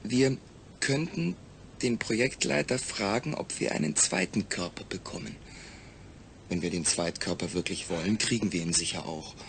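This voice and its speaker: A man acting in a German audio drama as a calm, rational astronaut, speaking in a measured, explanatory way. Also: low voice, gravelly, slight background noise. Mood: reasoning, cooperative.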